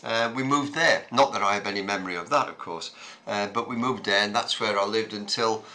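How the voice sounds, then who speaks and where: Man, 70s, low-pitched, very bright timbre, reflective storytelling, hesitant fillers. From Scotland. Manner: unhurried, reflective storytelling with frequent ums and uhs. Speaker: interviewee. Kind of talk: reminiscing conversation.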